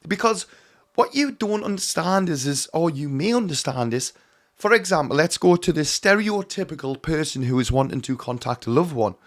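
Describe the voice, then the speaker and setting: A hoarse man giving an earnest, emphatic teaching talk on mediumship, often calling his viewers his friends. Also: fairly high pitch, lively intonation, moderate pace. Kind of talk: direct-to-camera teaching talk.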